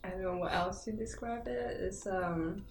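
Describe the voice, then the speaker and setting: A young woman from China in her twenties, a film student, answering questions in a soft, soothing, unhurried way. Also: mid-range voice, creaky. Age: 20s. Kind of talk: interview answers. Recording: roomy echo, faint music.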